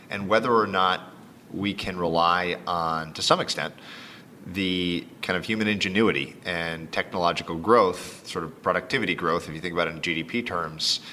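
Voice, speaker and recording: A man hosting an interview in a conversational style, exploring ideas through long, layered questions. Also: bright, forceful tone. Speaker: podcast host, interviewer. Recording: noisy background with low rumble.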